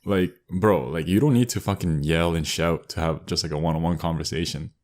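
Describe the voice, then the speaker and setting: A young man with a deep, low voice. He chats as a podcast co-host in a blunt, slangy way, swearing freely as he gripes. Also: brisk, darkish tone. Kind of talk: casual chat, griping.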